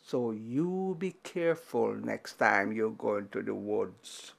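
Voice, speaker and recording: A woman storyteller telling a tale to children in an animated, dramatic way, building through repetition and pauses. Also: a bass voice, vocal fry.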